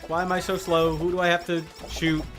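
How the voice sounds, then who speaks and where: A man chatting casually and rambling as he streams a video game, with an animated, amused manner. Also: high voice, brisk. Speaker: live streamer. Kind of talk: casual gaming stream chat. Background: video game music.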